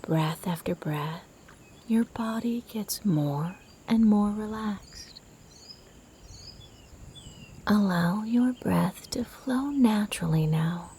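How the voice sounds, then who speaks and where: A woman guiding a sleep meditation in a soft, breathy, half-whispered voice, soothing and gentle in her delivery. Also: mid-range voice, slow pace, long pauses, a little hiss or hum. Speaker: meditation guide. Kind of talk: guided sleep meditation narration.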